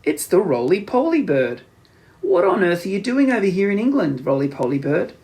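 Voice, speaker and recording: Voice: medium pitch, sing-song, normal speed, darkish tone, voice pushed up. A man voicing an anxious captive father monkey in a children's storybook, urgent and exclamatory. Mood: astonished.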